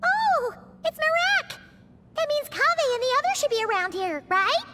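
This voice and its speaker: A woman voicing a tiny, excitable fairy companion in a video game, childlike, squeaky and chatty with a theatrical, exclamatory manner. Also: normal speed, very noisy. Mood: excited. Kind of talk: English video game voice acting. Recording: music behind the voice.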